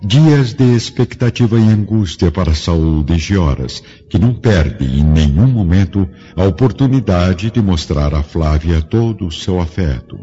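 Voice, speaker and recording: Male narrator for radio drama, solemn scripted read, deep voice, muffled sound with music underneath. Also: warm tone, slightly rough. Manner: solemn, grave, formal. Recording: dull lo-fi studio drama, music behind.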